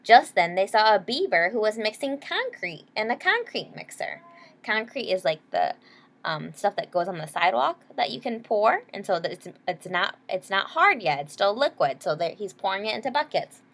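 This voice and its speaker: A woman's voice: mid-range voice, sing-song, moderate pace, fairly bright tone. A woman storyteller reading a children's picture book aloud in a teacherly way, explaining as she goes. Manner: checks in with listeners using short questions.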